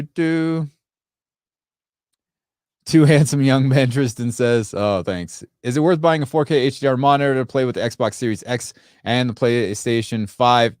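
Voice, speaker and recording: A man's voice: medium pitch, a little mellow, clear, resonant tone, no room sound, clean studio sound. A man born in Sri Lanka, hosting a casual tech livestream and reading viewer comments, rambling with lots of fillers. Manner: chatty, rambling, many fillers and restarts.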